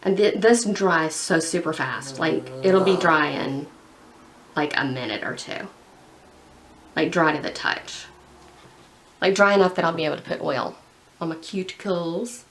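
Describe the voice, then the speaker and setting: A woman's voice: fairly low pitch, measured pace, long pauses, fairly bright tone, a little hiss or hum, rough recording. A young woman chatting casually while painting her nails, rambling and full of "like". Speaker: beauty vlogger.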